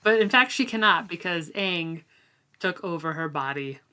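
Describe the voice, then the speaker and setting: A woman's voice: fairly low pitch, animated, moderate pace, pushed and bright, clear, resonant tone. A woman co-hosting a podcast, chatting informally and conversationally about a book, often dropping in "like". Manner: informal, conversational, frequent use of "like". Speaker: podcast co-host. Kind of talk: casual book discussion chat.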